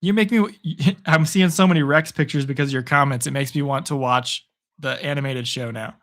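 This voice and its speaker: Hoarse, chatty male sports streamer. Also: on the high side, fairly bright tone. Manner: chatty, upbeat, reads viewer comments aloud. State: hoarse from hours of talking. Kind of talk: live play-by-play and chat reactions.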